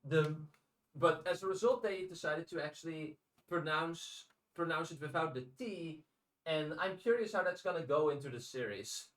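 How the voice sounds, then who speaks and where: A man with a fairly high voice talks casually as a podcast co-host. He often repeats his first words while working toward a point. The recording has a strong room echo around him. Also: moderate pace, fairly bright tone. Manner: hesitant, repeats words as he starts sentences. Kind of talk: casual discussion of audiobooks.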